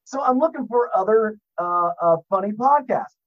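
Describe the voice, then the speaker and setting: A man's voice: sits high, expressive, normal speed, dull audio. A man on a podcast as a guest, telling rambling, profane stories and laughing at his own jokes. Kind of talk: casual podcast chat, storytelling.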